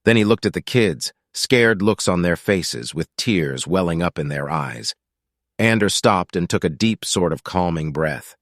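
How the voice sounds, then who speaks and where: A man's voice: low-pitched, expressive, a little slow, dry recording, clean studio sound. A man reading scripted fiction as an audiobook narrator.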